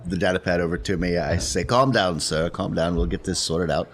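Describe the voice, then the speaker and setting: A man playing a tabletop role-playing game, talking casually in a measured, hesitant way. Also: low voice, steady tone.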